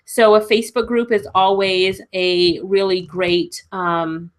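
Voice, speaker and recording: A woman's voice: medium pitch, flat delivery, slow pace. A woman with a cold and a stuffy nose hosting a live webinar Q and A as a business coach, chatty and encouraging, laughing often. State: congested with a cold.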